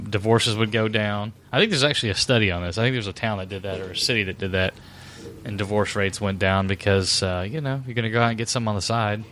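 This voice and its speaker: A man's voice: on the low side, a bit bright. A man, co-hosting a podcast, joking crudely and swearing freely in casual chat. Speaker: podcast co-host.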